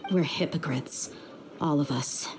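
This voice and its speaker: A woman's voice: low voice, unhurried, noisy background. A woman playing a tough, candid young desert mercenary in a video game, speaking frankly and reflectively. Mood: bitter, reflective.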